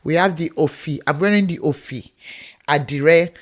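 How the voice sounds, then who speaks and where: A woman's voice: low voice, moderate pace. A woman from Nigeria with a Nigerian accent, co-hosting a casual chat and laughing often. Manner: animated, laughs often, acts out quoted voices. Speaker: co-host.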